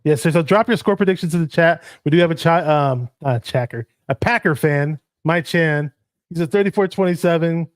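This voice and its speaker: A man whose first language is Arabic, co-hosting a casual sports livestream and shouting out viewers in the chat. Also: on the high side, brisk, dry recording, studio quality. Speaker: podcast co-host.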